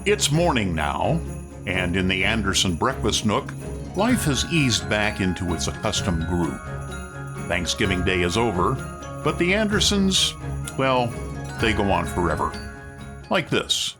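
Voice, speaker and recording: Low-voiced, lively radio announcer man. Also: a little slow. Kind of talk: 1950s radio announcing, scripted narration. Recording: vintage radio broadcast with music behind.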